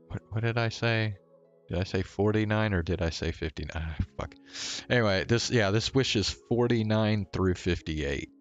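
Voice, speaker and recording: A man giving casual, low-key live commentary as he plays a game, muttering to himself. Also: moderate pace, highs cut off. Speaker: game streamer. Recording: music behind the voice.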